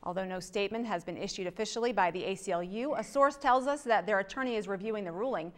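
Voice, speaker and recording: A woman's voice: medium pitch, quick pace. Middle-aged woman anchoring a newscast. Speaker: news anchor. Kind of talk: scripted news narration. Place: TV news studio.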